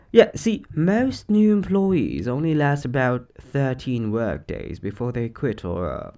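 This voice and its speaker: A man playing an upbeat, quirky boss of a mysterious shop, chatting casually in an animated, hesitant way in a roleplay audio. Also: mid-range voice, creaky, heavily acted.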